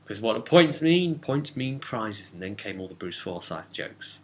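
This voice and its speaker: A man's voice: lowish voice, sing-song, a little slow. A man, chatty and rambling, casually retelling a tabletop role-playing session as its game master and slipping into dialogue. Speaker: game master and vidcast host.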